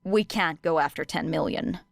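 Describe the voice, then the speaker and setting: A woman's voice: deep voice, normal speed, dry recording, clean studio sound. A woman voicing a brash, teasing teenage girl student with blunt, sarcastic delivery in an audiobook. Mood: matter-of-fact. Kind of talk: audiobook character dialogue.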